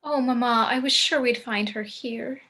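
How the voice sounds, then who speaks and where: A woman's voice: on the high side, normal speed, pushed and bright. A woman playing an elegant, devoted gothic wife and mother in a film script table read. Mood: dramatic concern.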